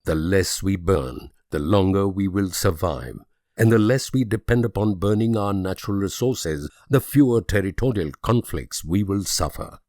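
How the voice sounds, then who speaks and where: An actor playing a grave, idealistic and world-weary political leader in a strategy game, speaking in measured, solemn oratory with dramatic pauses. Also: male voice, low-pitched, a little mellow, no echo, studio quality, natural voice. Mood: grave, reproachful.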